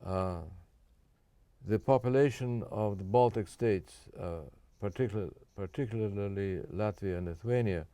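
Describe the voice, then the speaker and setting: An older man born in Japan, answering interview questions in a measured, careful way with frequent fillers and restarts. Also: fairly low pitch, mellow, no echo. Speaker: guest.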